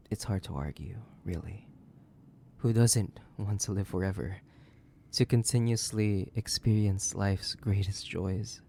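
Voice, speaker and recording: Man voicing a slow, gravelly, sadistic vampire. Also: medium pitch, dark tone. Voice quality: gravelly, breathy, often dropping to a whisper. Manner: slow, theatrical, savoring, condescending. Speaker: audio roleplay voice actor. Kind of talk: horror audio roleplay monologue.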